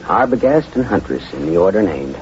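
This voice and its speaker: A man's voice: sits low, sing-song, fairly quick, very noisy. A man playing a wry, tough private eye in an old radio detective drama, with laconic, wisecracking delivery and narration. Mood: wry, businesslike. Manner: wisecracking, laconic, hard-boiled. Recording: muffled vintage radio recording with music.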